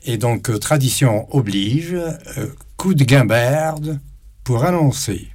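Radio cohost guy, talks real slow, relaxed, drops a few euhs when he introduces folks. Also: mid-range voice, slight background noise. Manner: unhurried, with fillers as he introduces guests. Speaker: radio co-host. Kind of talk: casual radio interview. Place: radio studio.

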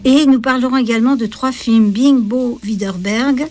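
A woman's voice: on the high side, steady tone, normal speed, darkish tone. A woman talking conversationally as the host of a radio show.

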